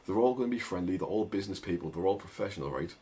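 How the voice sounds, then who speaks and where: A man's voice: sits low, rapid-fire, mellow, breathy edge. A young man with a thick northern English accent, giving rambling casual advice with lots of "like" and "right?". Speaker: agency owner vlogger. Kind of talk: casual advice talk to camera.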